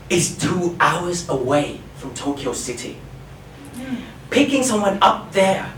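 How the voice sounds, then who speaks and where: Man from Thailand, storyteller; unhurried, animated pitch, dry self-mocking wit; distant mic in a reverberant hall. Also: slight background noise. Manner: wry, self-mocking humor. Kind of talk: live stage storytelling.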